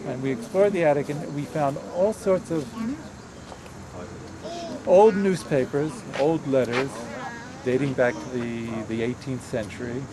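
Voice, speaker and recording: Soft-spoken man in his eighties. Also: medium pitch, a crowd in the background. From Pennsylvania, USA. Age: 80s. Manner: halting, many pauses and restarts. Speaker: family tour guide. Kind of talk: reminiscing storytelling. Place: outdoors by a roadside house. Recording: outdoor camcorder with traffic noise.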